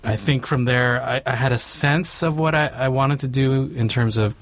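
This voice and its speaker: A man's voice: mid-range voice, fairly even intonation, normal speed. A middle-aged man with a Canadian accent, talking casually as an interview guest in a rambling, hesitant way peppered with "you know". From Vancouver, Canada. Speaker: podcast guest, filmmaker.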